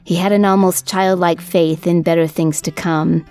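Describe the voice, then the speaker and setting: A woman reading scripted storytelling narration for a folk history podcast in a measured, literate manner. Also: on the low side, steady tone, a little mellow, no room sound. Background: music under the voice.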